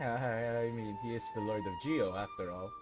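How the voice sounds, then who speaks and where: A man's voice: lowish voice, normal speed, muffled recording, loud music behind the voice. A man giving casual, conversational commentary as a gaming streamer. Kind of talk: casual let's play commentary.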